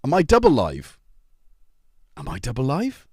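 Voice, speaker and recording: A man's voice: mid-range voice, huge pitch swings, a little slow, husky, no echo, clean studio sound. A middle-aged man from North Wales with a North Welsh accent, chatting casually with viewers on a livestream and joking as he greets them by name. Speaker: livestream host. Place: home.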